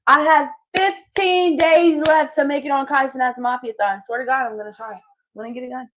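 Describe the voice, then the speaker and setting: A woman's voice: high voice, fairly even intonation, a little fast, noisy background. A woman hosting a live stream and chatting casually as a singer, loose and slangy with lots of swearing. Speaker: streamer host.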